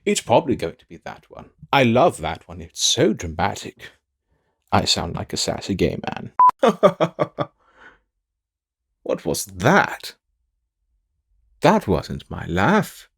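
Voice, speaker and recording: A man's voice: on the low side, wildly swooping pitch, takes it slowly, creaky, long pauses, breathy edge, exaggerated voice. A man playing a sassy, self-absorbed fantasy villain in an audio drama, with a theatrical, drawling and melodramatic delivery. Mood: playful, dramatic. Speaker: fan audio drama voice actor and narrator. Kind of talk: theatrical in-character outtakes.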